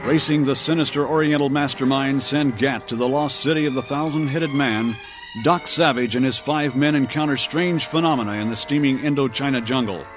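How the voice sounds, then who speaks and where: A man's voice: medium pitch, brisk. A man announcing and narrating a pulp adventure radio serial in a grand, theatrical, scripted style. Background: dramatic music.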